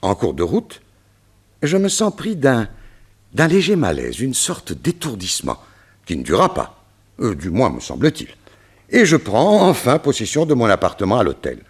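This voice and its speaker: A troubled gentleman, very animated, husky. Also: medium pitch, moderate pace, exaggerated voice. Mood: puzzled. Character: grave, troubled gentleman recounting a strange mishap. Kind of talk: detective radio play acting.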